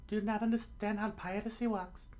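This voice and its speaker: A woman's voice: medium pitch, fairly even intonation, brisk, darkish tone, pitched above the performer's own voice, lightly acted. A woman voicing a hot-tempered, greedy young female thief who scolds indignantly in an adventure story. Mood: angry scolding.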